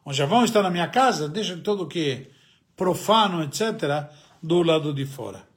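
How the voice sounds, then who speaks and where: A man's voice: on the high side, expressive, normal speed. A man with an Argentine accent, a native Spanish speaker, gives a religious lesson, asking and answering his own questions with frequent hesitations. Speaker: rabbi teaching the weekly Torah portion. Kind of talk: religious teaching talk.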